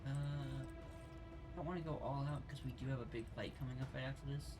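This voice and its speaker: A man's voice: mid-range voice, steady tone, fairly quick. A man hosting a let's play, giving casual gameplay commentary as he thinks aloud. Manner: thinks aloud while playing. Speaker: let's play host and player. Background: game music.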